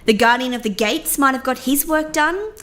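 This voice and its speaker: A woman's voice: sits high, normal speed, pushed and bright. A woman playing a busy, dryly sarcastic city official in a fantasy audio drama. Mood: exasperated.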